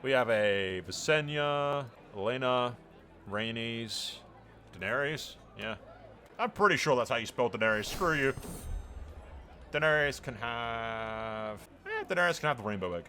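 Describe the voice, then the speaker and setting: A man's voice: medium pitch, expressive, measured pace, sharp tone, a little hiss or hum. A man hosting a gaming roleplay playthrough, commenting casually and irreverently with frequent swearing.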